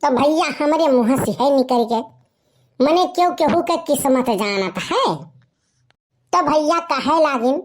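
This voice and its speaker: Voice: high-pitched, lively intonation, unhurried, slight background noise. A performer voicing a boastful young village slacker in a comic cartoon story, with a rustic eastern Uttar Pradesh Awadhi accent.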